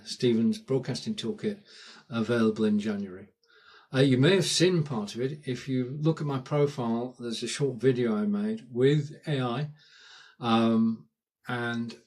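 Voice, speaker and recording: A man with a Lancashire accent talks casually into a live stream from his rural home. His voice sits at an ordinary middle pitch, and he rambles from point to point. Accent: Northern English, Lancashire. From Lancashire, England. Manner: chatty, thanks viewers by name, rambling asides.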